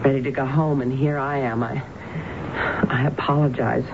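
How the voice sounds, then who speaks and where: A woman's voice: rumbling low, fairly even intonation. Distraught, pleading woman, tinny noisy radio. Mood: distraught, agitated. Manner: halting, breathless pleading with broken starts. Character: distraught, desperate married woman seeking a psychologist's help. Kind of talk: radio drama acting. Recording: muffled phone-like old radio broadcast, loud noise.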